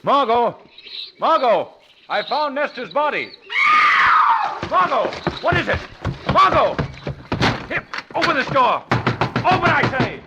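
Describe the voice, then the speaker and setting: An actor playing an inquisitive, well-spoken gentleman sleuth with an assured manner in a 1940s radio drama. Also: very high-pitched, sing-song, slow, gravelly, pauses often, muffled recording, exaggerated voice. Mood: alarmed shouting. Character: composed, inquisitive gentleman sleuth, bold adult man. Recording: noisy old radio recording.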